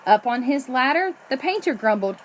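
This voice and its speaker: A woman reading a children's picture book aloud in an expressive storytelling way, drawing out the ends of phrases. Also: high-pitched, normal speed, slight background noise. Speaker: storybook reader.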